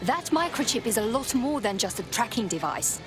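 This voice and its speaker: A woman's voice: a little high, very animated, moderate pace, over music. A woman playing a tough, cocky hacker operative in a video game, speaking bluntly and sardonically. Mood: determined.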